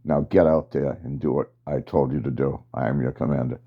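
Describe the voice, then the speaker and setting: A man voicing a stern male military commander who gives slow, emphatic orders. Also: extremely low pitch, fairly even intonation, highs cut off, voice pushed down.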